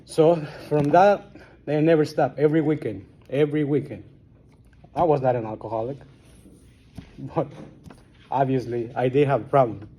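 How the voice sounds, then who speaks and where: A man in his forties from Guatemala with a Guatemalan Spanish accent, speaking English as a second language and telling his recovery story at a meeting in a plain, halting way with many restarts. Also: mid-range voice, breathy edge, lo-fi sound.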